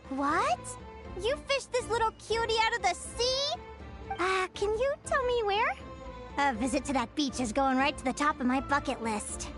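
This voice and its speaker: Very high, girlish, bubbly guild advisor. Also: sing-song, moderate pace, over music. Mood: eager, curious. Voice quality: girlish, light. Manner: chirpy, gushing, teacherly. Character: bubbly young female adventurers' guild advisor, curious, gushing enthusiast. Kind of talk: video game voice acting.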